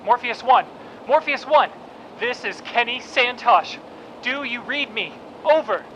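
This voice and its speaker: A man's voice: very high voice, animated, a little slow. An actor playing a desperate captive spy pleading urgently with his wife over a radio link in an audio drama. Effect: radio transmission. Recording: dull radio transmission, noisy ambience.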